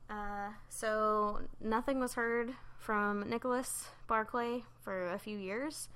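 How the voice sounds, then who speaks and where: Bright-voiced woman telling a story casually. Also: medium pitch, fairly even intonation, takes it slowly, smooth. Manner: loose and chatty, full of fillers like "um" and "like". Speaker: podcast co-host telling a true crime story.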